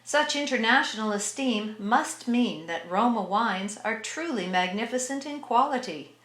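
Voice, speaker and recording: A woman playing a grand sponsor announcer, reading an old-time radio advertisement in a measured way, in a roomy recording. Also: on the high side, animated, a bit bright. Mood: proud, promotional. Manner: measured, deliberate salesmanship. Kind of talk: 1940s radio advertisement read.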